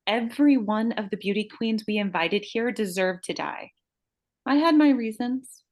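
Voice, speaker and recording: A woman's voice: mid-range voice, animated, normal speed, lightly acted. Woman acting a gloating, vengeful beauty-queen killer. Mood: smug, cold. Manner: taunting, profane, theatrical outbursts. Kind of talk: live screenplay table read.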